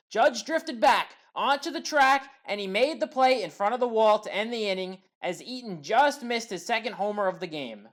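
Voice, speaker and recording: A woman giving a scripted play-by-play voice-over of game highlights, in a steady recap delivery that lifts on big plays. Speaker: highlights commentator.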